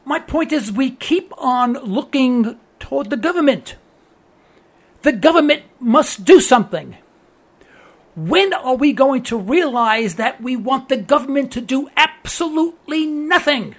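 A man's voice: extremely high pitch, lively intonation, a little slow. A man with a New York accent hosting a political talk radio show, delivering an earnest, preachy monologue that repeats phrases for emphasis.

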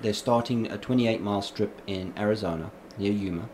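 A man's voice: lowish voice, brisk, a little mellow, slight background noise. A man who is a guest in an interview, answering in an assured, agreeable and explanatory way. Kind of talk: casual interview.

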